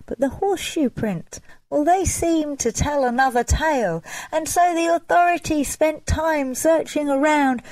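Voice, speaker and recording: A woman storyteller narrating a folk tale dramatically, with expressive, deliberate emphasis. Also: a little high, no room sound.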